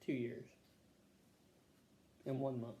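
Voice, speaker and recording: A man answering health questions as a patient, casual and matter-of-fact, pausing to think in short answers. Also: medium pitch, flat delivery, warm tone. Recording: echoey room with faint background noise.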